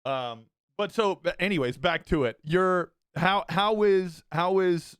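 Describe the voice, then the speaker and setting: A young adult man hosting a podcast, talking in a halting, filler-heavy way and laughing often. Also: high voice, a bit bright, clear, resonant tone, no echo, studio quality. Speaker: podcast host. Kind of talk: casual podcast chat.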